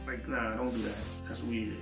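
A man's voice: mid-range voice, flat delivery, normal speed, roomy sound, music in the background. A young man in laid-back casual chat, slangy and swearing freely.

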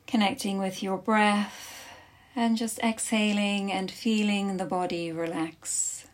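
A woman leading a guided yoga nidra class as instructor, speaking soothingly in short instructive phrases with long pauses. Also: mid-range voice, fairly even intonation.

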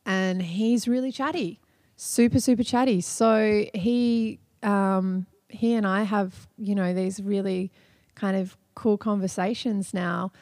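A woman co-hosting a podcast in casual chat, chatty and quick to laugh, often trailing off and starting over. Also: medium pitch, steady tone, a little mellow, dry recording, clean studio sound. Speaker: podcast co-host.

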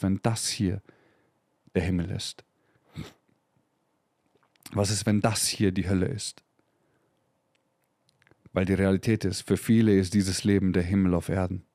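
A man's voice: sits low, flat delivery, moderate pace, long pauses, mellow, no echo, studio quality. A man in his thirties hosting a motivational podcast, talking emphatically and profanely and mixing in English slang. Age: 30s. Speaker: podcast host.

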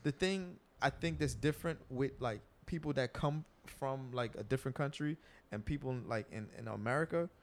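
A man's voice: medium pitch, moderate pace, hardly any pauses. A young man in casual group talk, explaining at length with many fillers and restarts. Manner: animated, lots of filler words and restarts.

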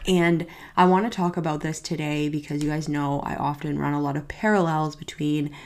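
Low-voiced congested woman podcast host. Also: flat delivery, brisk, darkish tone. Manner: chatty, upbeat, says "you guys" often. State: congested, nasal from a cold. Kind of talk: casual solo podcast talk.

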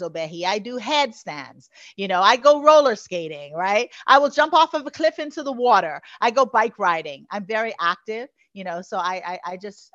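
A woman's voice: mid-range voice, fairly bright tone, no room sound, muffled recording. A woman from the Bahamas, a guest coach answering interview questions in an earnest, flowing way with many "you know" fillers.

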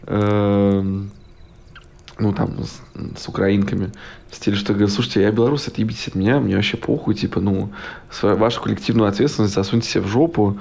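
A man's voice: on the low side, steady tone, a little fast, gravelly, a little mellow. A man of about thirty from Russia, a podcast guest rambling in casual chat, full of fillers, restarts and blunt profanity.

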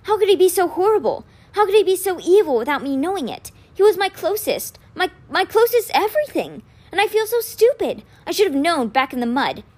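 A woman's voice: high-pitched, lively intonation, fairly quick, hardly any pauses. A woman voicing an earnest, anxious teenage boy in an audiobook, stammering, pleading and full of guilt. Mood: upset, anxious.

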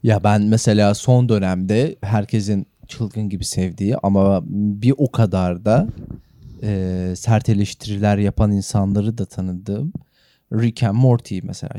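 A man's voice: on the low side, fairly even intonation, measured pace, warm tone, dry recording, studio quality. A man, co-hosting a podcast in a casual, conversational way. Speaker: podcast co-host. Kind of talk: casual chat.

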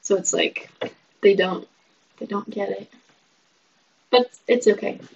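Breathy, chatty teenage girl, lo-fi. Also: medium pitch, takes it slowly. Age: teens. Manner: chatty, heavy use of "like", trails off softly. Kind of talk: casual chat. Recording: dull lo-fi sound.

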